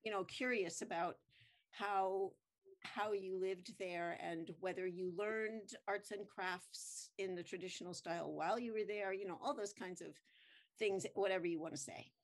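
A woman's voice: mid-range voice, normal speed. Casting: woman host, chatty, loose questions, webcam call. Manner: friendly, rambling questions with many fillers. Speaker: interviewer host. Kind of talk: casual video-call interview. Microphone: webcam on a video call.

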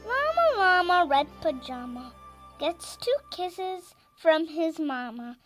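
A seven-year-old girl reading a children's story aloud in a deliberate, sing-song, emphatic way. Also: very high voice, very animated, over music. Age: child, seven years old. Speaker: storyteller reading a picture book.

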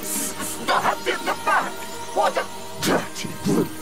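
A man's voice: slow, music in the background, close to an everyday voice. An actor playing a mocking, theatrical villain commander who schemes his way through a video game cutscene. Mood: outraged.

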